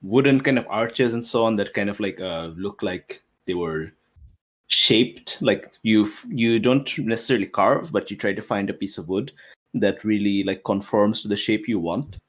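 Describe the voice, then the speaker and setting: Low-pitched man thinking aloud. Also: moderate pace. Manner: tentative, thinking aloud with many hedges. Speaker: tabletop game player. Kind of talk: casual improvised worldbuilding talk.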